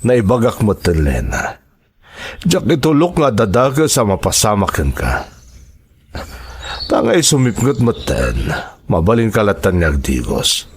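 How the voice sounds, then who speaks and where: A man's voice: fairly low pitch, sing-song, measured pace, pauses often, slight background noise. A man with an Ilocano accent acting in a radio drama as a persuasive rebel leader who poses as a protector and hides a predatory side. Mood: coaxing, protective.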